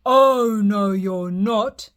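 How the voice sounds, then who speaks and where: A woman voicing a hungry, blustering male troll who roars his lines slowly and loudly. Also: mid-range voice, lightly acted. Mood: roaring. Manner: roaring, slow and loud.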